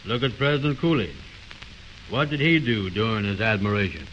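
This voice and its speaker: A low-pitched, blustering know-it-all lays down his opinions in a 1920s radio comedy. He is pompous and muddle-headed, with folksy, ungrammatical speech. The sound is a dull old recording with faint hiss. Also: animated, fairly quick, fairly bright tone.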